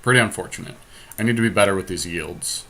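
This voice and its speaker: A man's voice: sits low, quick pace, fairly bright tone. A man giving casual commentary on an online card game, thinking aloud in a resigned, matter-of-fact way. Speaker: podcast host. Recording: noisy amateur screen-capture recording.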